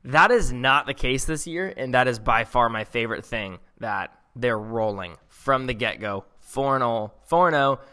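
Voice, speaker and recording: A man's voice: medium pitch, animated, normal speed, few pauses, pushed and bright. A man co-hosting a football podcast, talking in an enthusiastic, rambling way with frequent restarts. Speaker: podcast co-host. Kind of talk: casual sports chat.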